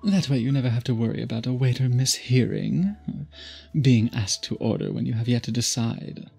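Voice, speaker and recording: Man voicing a courtly vampire lover, very breathy and husky, formal old-world phrasing, intimate, possessive, ASMR roleplay, music underneath. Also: medium pitch, steady tone, fairly quick, dark tone. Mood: charmed, courteous. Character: courtly, obsessive old-fashioned male vampire suitor.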